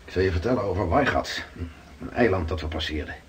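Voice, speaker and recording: An actor playing a weathered seafarer in a radio drama, telling his adventures slowly and with awe in a rough voice. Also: low-pitched, lively intonation, slight background noise. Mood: awed, reflective. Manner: slow, dramatic storytelling. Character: weathered Dutch seafarer Gerrit, awed storyteller, around 1600.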